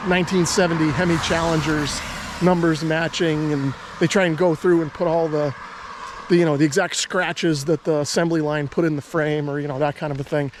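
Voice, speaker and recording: American man, somewhat high-pitched, easygoing, conversational, hobbyist video host, casual explanatory talk. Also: fairly even intonation, brisk, background noise.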